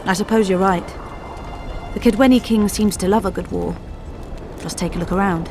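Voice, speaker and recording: An actress playing a shrewd, drily skeptical political adviser in a video game, measured and knowing. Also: female voice, mid-range voice, steady tone, lightly acted. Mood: wry. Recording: game music behind the voice.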